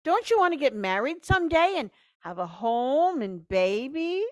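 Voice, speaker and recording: A woman's voice: high voice, big pitch swings, moderate pace, dry recording. A woman playing a nagging, fussing mother. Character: fussing, encouraging mother of a grown son. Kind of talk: vintage radio play acting.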